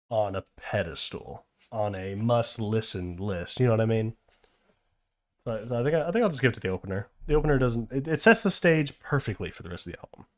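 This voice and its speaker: A man hosts a laid-back album-review chat, his pitch bouncing around as he rambles through fillers and teasing asides. His voice sounds a little worn after a long session. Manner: loose, filler-heavy, playful. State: losing his voice. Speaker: podcast host. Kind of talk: casual music review chat.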